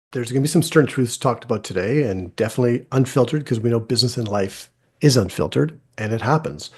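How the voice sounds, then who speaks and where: A man's voice: medium pitch, dark tone. A middle-aged man hosting a business podcast, talking plainly in a casual interview with small restarts. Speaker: podcast host.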